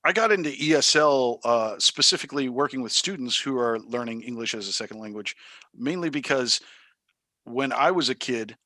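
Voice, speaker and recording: A man's voice: medium pitch, lively intonation, moderate pace, sharp tone, no room sound. Male teacher in his thirties, from Taiwan, thoughtful and hesitant, dry close recording. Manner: thoughtful, many ums and uhs, restarts. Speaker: high school math teacher, interview guest. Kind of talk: casual interview answers.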